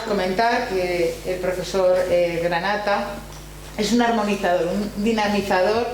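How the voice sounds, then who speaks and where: A woman with an accent from Spain formally introduces a guest speaker at an academic seminar, partly reading and sometimes hesitating. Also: fairly low pitch. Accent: Spanish from Spain. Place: university library room. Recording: very echoey room, faint hum and noise.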